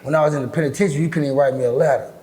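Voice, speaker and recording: A middle-aged man with a deep, raspy voice, talking bluntly and with lots of swearing as an interview guest. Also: very fast. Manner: blunt, profane, animated storytelling. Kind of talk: casual interview talk.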